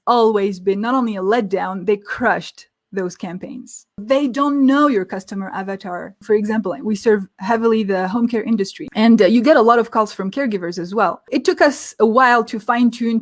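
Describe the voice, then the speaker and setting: A woman guest expert explaining marketing tips in an energetic, emphatic way, step by step. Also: on the high side. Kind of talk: tips presentation in an interview.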